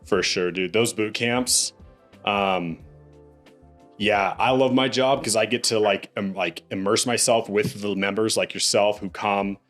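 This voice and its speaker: Upbeat, low-voiced podcast host man. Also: fairly even intonation, a little slow, a bit bright, faint music behind. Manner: upbeat, says "dude" and "bro" a lot. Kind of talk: casual interview chat.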